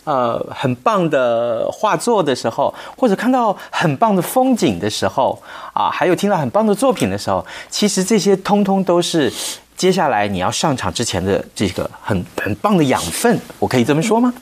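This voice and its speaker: A man with a Taiwanese Mandarin accent hosting a radio interview, putting questions politely and deferentially in measured chunks. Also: fairly high pitch, very animated. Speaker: radio programme host, interviewer. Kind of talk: conversational interviewing.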